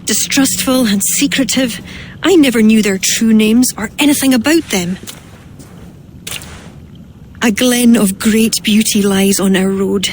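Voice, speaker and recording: A woman playing an earnest, sorrowful druid in a video game cutscene, speaking in measured, formal phrases. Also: fairly high pitch, lightly acted. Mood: regretful, confessional. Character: earnest, sorrowful female druid, former cult member. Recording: game ambience noise behind voice.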